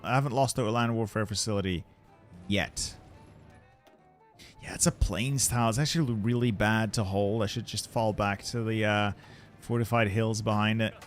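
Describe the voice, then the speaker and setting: A young Dutch man narrating his strategy game play, muttering and swearing in frustration as things go wrong. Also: lowish voice. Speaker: gaming YouTuber.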